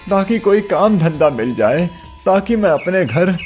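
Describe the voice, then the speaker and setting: A man voicing a poor, gentle, humble husband in a cartoon story, with an earnest, soft manner. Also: sits high, sing-song, a little slow, over music. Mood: pleading.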